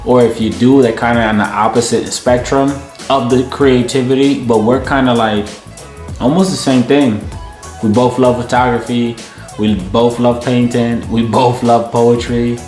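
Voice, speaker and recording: A man's voice: mid-range voice, fairly even intonation, moderate pace, darkish tone. Man, laid-back, slangy, joking, echoey home room, faint music. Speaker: co-host of family channel. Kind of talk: casual couple Q&A.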